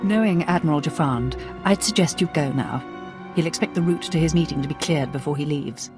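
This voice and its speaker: Woman doing a crisp polite empire agent lady, game cutscene, music behind her. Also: low-pitched, brisk, natural voice. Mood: businesslike. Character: efficient female imperial agent, polite and businesslike. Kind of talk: video game cutscene acting.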